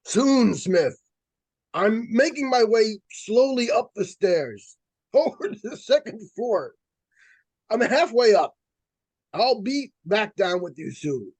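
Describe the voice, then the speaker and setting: A man performs a solemn ghost hunter from a vintage radio thriller. He is formal and portentous, with a high, widely swinging voice. Each phrase comes out slowly and deliberately, with theatrical gravity. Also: sing-song, a little mellow, slightly rough, no echo, full character voice, rough recording. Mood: urgent, self-assured. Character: earnest, portentous male psychic researcher and ghost hunter. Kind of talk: live old-time radio suspense acting.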